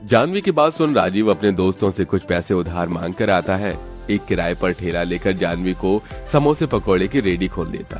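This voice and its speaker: Low-voiced male storyteller over music. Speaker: storyteller narrator. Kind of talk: scripted story narration. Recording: music under the voice. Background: background music.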